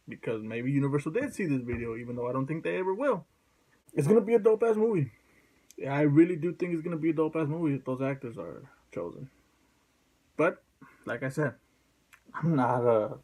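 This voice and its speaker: A man's voice: mid-range voice, poor audio. A man hosts a casual opinion vlog in a mid-range voice. He talks informally and swears freely, working out his thoughts aloud as he goes. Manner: informal, profane, thinking aloud. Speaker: video host giving opinions.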